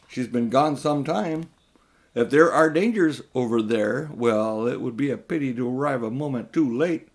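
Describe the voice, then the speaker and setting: A man's voice: a little mellow, lightly acted. A man putting on a haughty, scheming older uncle. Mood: falsely cheerful, smug. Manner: high and mighty, condescending, coolly smug. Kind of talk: children's audiobook character reading.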